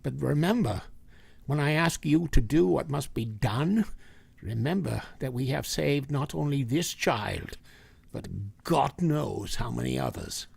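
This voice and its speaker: Man acting gravelly, commanding old professor. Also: medium pitch, sing-song, a little mellow, no echo, heavily acted. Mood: grave, reassuring. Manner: urgent, deliberate, authoritative. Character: grave, commanding older male professor hunting vampires. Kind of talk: vintage radio drama acting.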